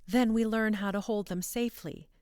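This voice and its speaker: A woman voicing a calm, commanding professor of magic, measured, solemn and gentle but firm, in a fantasy story. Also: mid-range voice, clear, resonant tone, no room sound, clean studio sound, voice pushed up, lightly acted.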